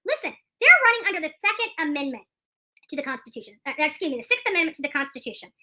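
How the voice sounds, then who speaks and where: Casting: woman live commentator, very bright forceful high voice, opinionated, repeats herself for emphasis. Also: big pitch swings, very fast. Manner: emphatic, repeats phrases for stress. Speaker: streamer commenting on court news.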